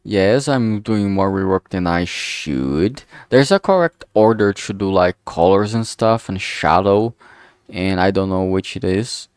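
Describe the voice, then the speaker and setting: A man thinking aloud in a halting way, chatting casually on a solo livestream as he works. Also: sits low, clean tone, no echo, clean studio sound. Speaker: streamer making a visual novel. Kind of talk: casual solo livestream chat while working.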